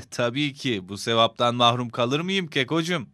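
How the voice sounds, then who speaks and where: A man's voice: mid-range voice, expressive, a little slow, bright, forceful tone, no room sound, clean studio sound. A man playing a kind, devout middle-aged father in a children's cartoon, speaking in an instructive, fatherly way. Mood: pleased. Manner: instructive, fatherly, clearly enunciated.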